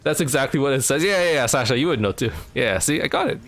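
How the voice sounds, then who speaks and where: Rambling young man streaming with chat. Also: fast. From Canada. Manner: rambling, filler-heavy, pitch jumps up when animated. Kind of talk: casual chat with live chat while gaming. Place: home.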